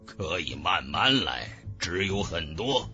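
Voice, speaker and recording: A man voicing a calm, authoritative old martial master in a measured, unhurried way. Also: low voice, muffled recording, soft background music, voice pushed down, full character voice. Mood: calm instructing.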